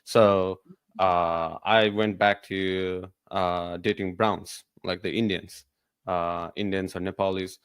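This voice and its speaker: A man with a fairly low voice hosts a casual podcast, talking freely and asking eager follow-up questions. His voice is recorded close and dry, with no room sound. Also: flat delivery, slow, smooth, dry recording. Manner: chatty, asks follow-up questions. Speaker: podcast host.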